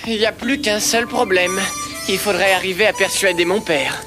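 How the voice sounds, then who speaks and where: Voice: mid-range voice, normal speed, over music, close to an everyday voice. A performer voicing an earnest, eager young boy in a French-dubbed eighties cartoon. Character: the circus owner's son Kim, earnest young boy.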